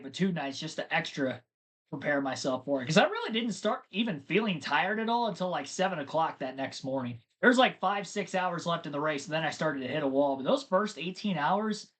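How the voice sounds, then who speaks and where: A man's voice: on the high side, lively intonation, brisk, a bit bright. A man hosting a live race stream, chatting casually and rambling to his viewers between race updates. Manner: chatty, rambling, talks to chat.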